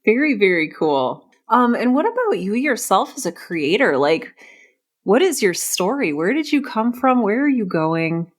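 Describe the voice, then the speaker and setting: A woman's voice: mid-range voice, big pitch swings, moderate pace. Effusive woman hosting a podcast interview. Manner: effusive, encouraging. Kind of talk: interview conversation.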